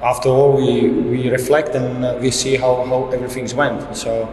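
A man's voice: medium pitch, little pitch movement, normal speed, a little mellow. A man in his thirties, a football head coach, answering reporters at a post-match press conference in fairly plain with frequent restarts, into a table microphone. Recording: echoey room with reverb.

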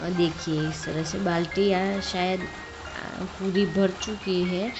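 A woman's voice: on the low side, steady tone, takes it slowly, music in the background. Woman, casual farm vlog narration, outdoors, phone mic, muffled audio. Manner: chatty, addresses viewers as friends, many fillers. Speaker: vlogger narrating farm work. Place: outdoors on a farm near a noisy tractor.

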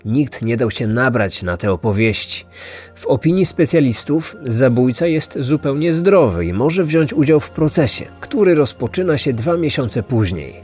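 A man's voice: medium pitch, big pitch swings, normal speed, a little mellow. A man hosting a podcast, reading scripted true crime narration. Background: music.

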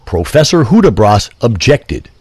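A man's voice: medium pitch, sing-song, a little slow, a little mellow, slightly rough, no room sound, studio quality. A man narrating a scripted audio drama as a theatrical, wordy storyteller.

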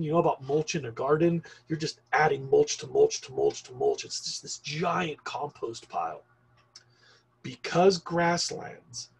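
A middle-aged man from Argentina teaching an online class over a webcam, explaining slowly and stopping often for ums. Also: medium pitch, creaky, slightly rough, poor audio. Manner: slow, rambling explanation with frequent ums. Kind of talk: recorded online class lecture.

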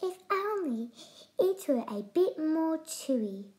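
Fluttery, high-voiced emu lady, a woman voicing her. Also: lively intonation, slow, full character voice. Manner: fluttery, sighing. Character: fluttery graceful female emu. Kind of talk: storybook reading.

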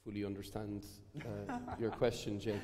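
A man's voice: on the low side, little pitch movement, moderate pace, a crowd in the background. A man answering casually on an academic panel in a blunt, candid, self-deprecating way. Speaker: panelist, physicist. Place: large hall with seated audience. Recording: echoey hall, faint room noise.

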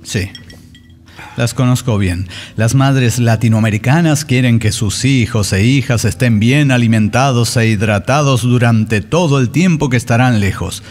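An actor playing a cautious, easily startled time traveller in a comic radio play, hesitant and exclaiming. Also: male voice, medium pitch, a little slow, a little mellow, close to an everyday voice. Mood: earnest, explanatory. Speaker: radio theatre performer.

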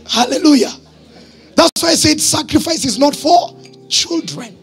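A man in his thirties with a Kenyan accent, a pastor preaching emphatically in short phrases and questions to his congregation, husky, on a handheld microphone in church. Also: very high voice, expressive, measured pace, a bit bright, faint music behind. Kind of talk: sermon. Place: church stage, indoors.

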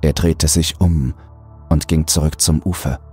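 Very deep, slow man narrating horror. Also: fairly even intonation, darkish tone, husky, faint music behind. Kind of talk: scripted audiobook narration.

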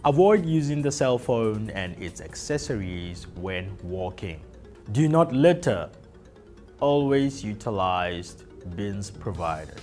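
A man presenting a scripted workplace safety induction, reading rules slowly and deliberately with emphatic listing. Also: on the low side, very animated. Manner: slow, deliberate, emphatic list delivery. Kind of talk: scripted corporate safety narration. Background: soft background music.

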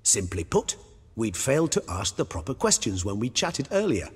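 An actor playing an erudite, pensive gentleman scholar in a video game, speaking in formal, wordy musings. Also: on the low side, very animated, normal speed, breathy edge, long reverb tail, heavily acted. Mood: measured, pleased. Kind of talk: video game dialogue acting.

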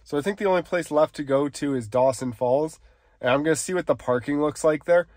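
A man's voice: a little fast, a little mellow, dry recording, clean studio sound. A man, talking casually to the camera in a laid-back travel vlog and sometimes trailing off. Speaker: vlogger. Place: outdoors in snowy woods, sometimes in a vehicle. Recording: outdoor background noise, vehicle rumble.

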